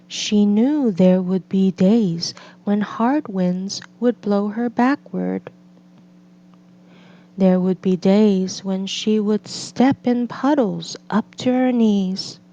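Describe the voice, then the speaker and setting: A woman reading a picture book aloud as a storyteller, in gentle, deliberate phrases with drawn-out pauses. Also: mid-range voice. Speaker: read-aloud storyteller for children and English learners. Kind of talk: slow scripted picture-book narration. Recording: slightly dull, lo-fi recording.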